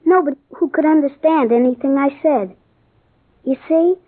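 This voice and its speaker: A woman's voice: high voice, moderate pace, close to an everyday voice. A woman playing an earnest, kind-hearted, matter-of-fact schoolboy with an American accent in an old-time radio drama. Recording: tinny, band-limited old radio broadcast.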